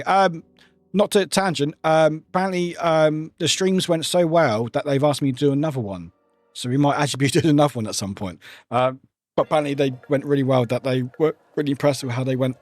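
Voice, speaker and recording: A streamer talking loosely to chat, wandering between thoughts and laughing along the way. The voice rises and falls a great deal and sounds a little croaky. Faint music runs underneath. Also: male voice, medium pitch. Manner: rambling, chatty, laughs often. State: slightly croaky voice. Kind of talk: casual livestream chat.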